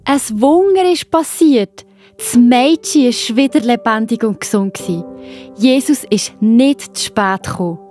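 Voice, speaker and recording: A woman's voice: a little high, expressive, measured pace, dark tone. A woman with a Swiss German accent narrating a Bible story for children. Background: faint music.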